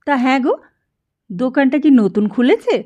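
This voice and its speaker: A woman's voice: sits high, big pitch swings, moderate pace, warm tone. A woman voicing a chatty village wife in an audiobook story, full of questions. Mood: curious, chatty. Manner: chatty, inquisitive. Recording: dull lo-fi narration recording.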